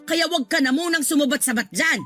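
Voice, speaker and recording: An actress playing a strict, hot-tempered middle-aged mother in a radio drama, blunt, excitable and quick to scold. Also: high voice, faint music behind. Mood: angry scolding.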